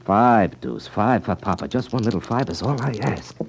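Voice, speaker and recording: An American actor playing a cocky, protective brother who talks smooth, sing-song patter in 1950s radio drama style. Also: male voice, lowish voice, expressive, moderate pace, a little hiss or hum. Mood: eager, coaxing. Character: cocky male dice shooter, protective brother, coolly taunting.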